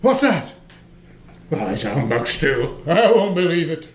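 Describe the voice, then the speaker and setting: Guy playing grumpy old miser in a radio play, high voice, bitter, grumbles and blusters. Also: sing-song, unhurried. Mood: nervous, defiant. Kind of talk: theatrical radio play acting.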